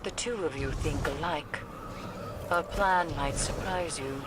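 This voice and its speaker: A slow, aloof, stern mystic. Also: female voice, low-pitched, very noisy. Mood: dry, composed. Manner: dry, measured, aloof. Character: stern, dry female mystic warlock, aloof and knowing. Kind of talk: video game cutscene voice acting.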